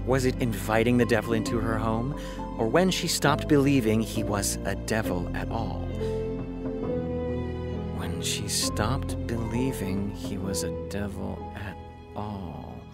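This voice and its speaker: A man's voice: lowish voice, a little slow, loud music behind the voice. A man playing a wry, self-critical writer who reads dramatic prose aloud with brooding delivery and muttered asides in an audio drama. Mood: intense, reflective.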